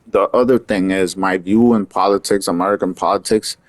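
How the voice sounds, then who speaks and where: A man's voice: low-pitched, steady tone, a little fast, no room sound. A man from Puerto Rico giving an earnest, opinionated monologue in a casual, rambling way. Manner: earnest, opinionated, talks in loose rambling asides. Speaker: vlogger.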